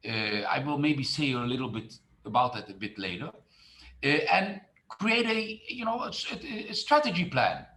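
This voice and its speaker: A man's voice: mid-range voice, very animated, moderate pace, bright, forceful tone. A middle-aged man from the Netherlands, a tourism marketing expert who speaks with many fillers and restarts in an online presentation.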